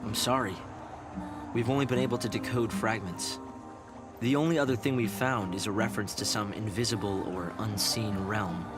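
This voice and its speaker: An actor playing an earnest young male research leader in a video game, speaking in a measured, polite, explanatory way. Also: fairly low pitch, natural voice. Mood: earnest, reflective. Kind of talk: video game English dub acting. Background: loud music.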